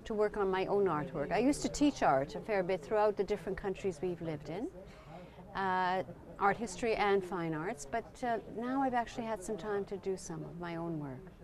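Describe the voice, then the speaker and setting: A woman's voice: medium pitch, moderate pace, crowd noise. Woman, 50s, from Poland raised in Canada, friendly, chatty, outdoor lapel mic. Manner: friendly, chatty, frequent restarts. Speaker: interview guest. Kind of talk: casual chat. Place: outdoors at night by flags.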